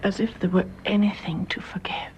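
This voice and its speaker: An actress playing a proud, emotional young British wife in a radio drama, well-bred and expressive, with a breathy voice. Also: female voice, mid-range voice, moderate pace, in a whisper, close to an everyday voice. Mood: tender. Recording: dull, muffled radio drama recording.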